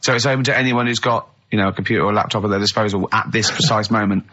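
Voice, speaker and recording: A man co-hosting a radio show in casual comic banter, excitable and teasing. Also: on the low side, racing, muffled recording. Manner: excitable, teasing, mimics others in quotes. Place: radio studio. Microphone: studio microphone.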